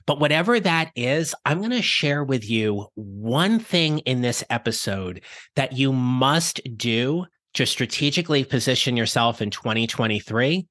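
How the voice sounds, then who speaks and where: A middle-aged man hosting a career-advice podcast, his voice raspy from illness, speaking in an upbeat, encouraging, conversational way. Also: medium pitch, a bit bright, no room sound, studio quality. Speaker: podcast host.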